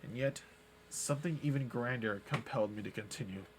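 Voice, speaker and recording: A man's voice: mid-range voice, brisk, noisy background. A man live-streaming a spooky visual novel, reading its narration aloud dramatically and dropping casual, sweary asides. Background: game music.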